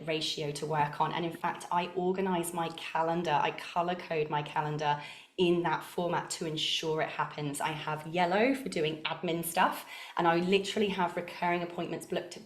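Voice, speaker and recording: A woman with a fairly low voice presents to an online group, brisk and enthusiastic, stringing long points together without pause. She sounds like she is on a video call in an echoey room. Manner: brisk, enthusiastic, talks in long flowing runs. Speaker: guest speaker.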